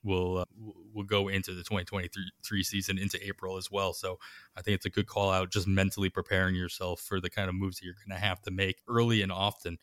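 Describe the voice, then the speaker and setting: A man's voice: low voice, flat delivery, fast, a bit bright, clean tone, dry recording, studio quality. A man hosting a casual sports podcast, chatting loosely and handing off to his guests. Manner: conversational, hands off to guests and wraps up the show. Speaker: podcast host. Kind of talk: casual fantasy baseball podcast chat.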